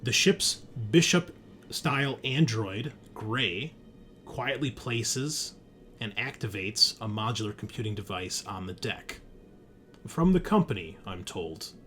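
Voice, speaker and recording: A man's voice: lowish voice, lively intonation, takes it slowly, fairly bright tone, soft background music. A man hosting a sci-fi horror tabletop game as game master, speaking to players and listeners. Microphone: streaming setup at a computer. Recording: background noise, room ambience.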